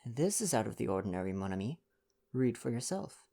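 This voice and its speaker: Man playing a fastidious older gentleman detective, vain and formal. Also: medium pitch, sing-song, vocal fry. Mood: intrigued. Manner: formal foreign phrasing, sighing self-importance. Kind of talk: storybook dialogue reading.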